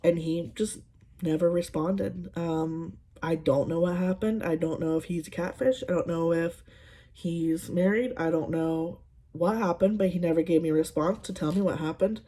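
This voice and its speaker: A woman's voice: on the low side, fairly even intonation, normal speed, dark tone. A woman in her thirties chatting casually while doing her makeup, telling rambling, sarcastic dating stories with lots of ums. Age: 30s. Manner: sarcastic, exasperated storytelling. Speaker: beauty vlogger. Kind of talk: casual get-ready-with-me chat.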